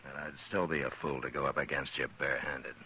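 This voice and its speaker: A man playing a grave, weary frontier marshal in a 1950s radio drama, calm and measured. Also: extremely low pitch, a little hiss or hum, heavily acted, poor audio. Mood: stern. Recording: old radio broadcast.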